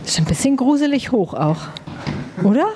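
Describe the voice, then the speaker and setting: A woman's voice: high-pitched, sing-song, brisk. Woman podcaster, noisy clicking recording. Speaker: podcast host. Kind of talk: casual podcast narration. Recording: noticeable noise with clicks.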